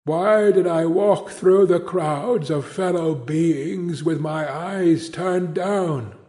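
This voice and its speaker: Give me a man doing a grieving ghost in chains for a read-aloud storybook, solemn and declaiming, lifting to a higher voice. He drags out every word slowly. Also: dark tone. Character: anguished chained ghost of an old businessman.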